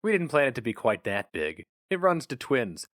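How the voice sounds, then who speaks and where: A man's voice: mid-range voice, big pitch swings, normal speed, fairly bright tone, no echo, studio quality, pitched above the performer's own voice. A man voicing an urbane young male job applicant who is polite, eager and affectedly casual. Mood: earnest, polite.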